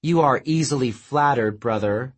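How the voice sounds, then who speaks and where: A man's voice: mid-range voice, normal speed, natural voice. A man voicing a stern, dry goddess.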